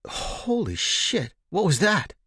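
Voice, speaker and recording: A male narrator acts out a groggy young man nursing a hangover in an audiobook story. He mutters and exclaims crudely to himself in a husky voice, with very dry, close sound. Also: high-pitched, very animated, takes it slowly, sharp tone, no room sound, voice pushed up, full character voice. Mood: alarmed. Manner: muttering, exclamatory self-talk.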